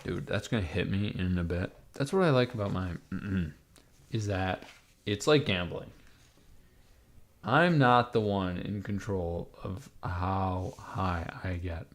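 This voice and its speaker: Gravelly-voiced man rambling to his chat. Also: low-pitched, slow. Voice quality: raspy, gravelly. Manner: rambling, swearing, talks to chat while typing. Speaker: livestreamer. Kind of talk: rambling casual livestream chat. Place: at his computer.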